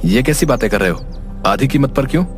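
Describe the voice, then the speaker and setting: A man's voice: medium pitch, expressive, fairly quick, natural voice. Man voicing proud, defiant younger brother, music behind. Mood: self-assured. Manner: accusing, indignant. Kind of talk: Hindi animated moral story dialogue.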